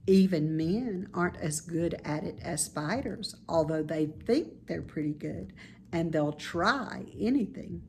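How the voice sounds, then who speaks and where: A woman playing a wise, calm, self-assured female spider in a children's storybook, speaking in a measured, instructive way. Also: on the low side, slight background noise. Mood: consoling. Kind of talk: children's storybook read-aloud.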